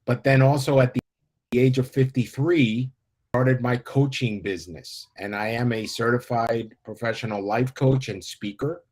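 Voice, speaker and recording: A man's voice: mid-range voice, moderate pace, a little mellow. A man in his fifties from the United States, a life coach guest speaking earnestly and inspirationally. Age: 50s. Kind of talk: interview talk.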